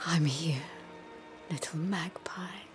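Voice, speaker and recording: An actress playing a middle-aged mother in a video game, measured and coaxing with a controlling edge. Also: deep voice. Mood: coaxing tenderness. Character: tender mother who turns coldly menacing. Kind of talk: video game cinematic acting. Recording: voice over cinematic music.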